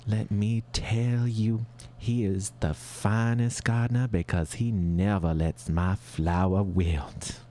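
A man playing a fussy, flustered middle-aged married woman with a put-on regional accent, in a high, breathy voice with dramatic, prim delivery. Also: steady tone, slow pace, dark tone. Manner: dramatic, prim, full of double meanings. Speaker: advice-show caller. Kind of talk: improvised comedy sketch.

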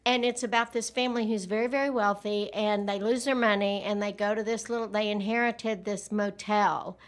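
A woman in her sixties chatting casually on a podcast, playful and quick to laugh. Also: medium pitch, fairly even intonation. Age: 60s. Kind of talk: casual chat.

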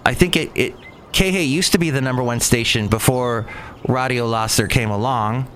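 A middle-aged American man with a mid-pitched voice talks casually through his memories. He wanders off on tangents and corrects himself along the way. A faint layer of noise sits behind him. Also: moderate pace, fairly bright tone. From Southern California, United States. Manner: conversational, self-correcting, digressive. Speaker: veteran radio broadcaster telling personal stories. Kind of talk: casual rambling monologue of reminiscences.

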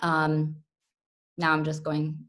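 A woman's voice: low-pitched, completely flat delivery, slow, a bit bright. A young woman from the United States, a podcast guest, talking earnestly and reflectively with frequent restarts. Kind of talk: interview conversation.

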